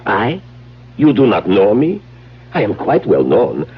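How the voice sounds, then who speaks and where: An actor playing a suave, self-important crime boss, speaking in courtly, deliberate phrases in a 1970s radio drama. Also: male voice, fairly low pitch, huge pitch swings, creaky, heavily acted. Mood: smoothly menacing. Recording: muffled 1970s radio recording, faint noise.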